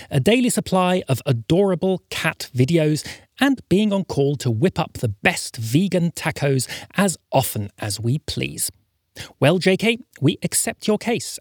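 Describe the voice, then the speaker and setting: Animated, husky male podcast presenter. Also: on the high side, dry recording, clean studio sound. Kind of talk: scripted announcements and ad read.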